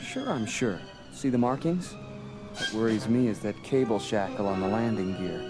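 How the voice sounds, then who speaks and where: An actor playing an earnest, edgy young rebel hero in a space opera audio drama, slightly impatient. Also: male voice, on the low side, moderate pace, over music, natural voice. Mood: concerned.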